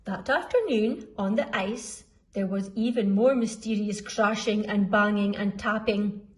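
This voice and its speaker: A woman reading a children's story aloud expressively, deliberately drawing out the dramatic sound words. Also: medium pitch, rough recording. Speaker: school storyteller.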